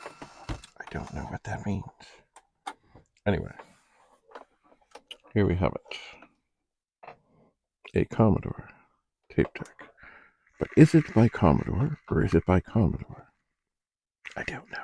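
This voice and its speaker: A man hosts a casual unboxing video, rambling as he thinks aloud. His voice is low and swings in a lively way from phrase to phrase. A faint layer of noise sits behind him. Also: slow pace, gravelly, long pauses. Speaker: video host.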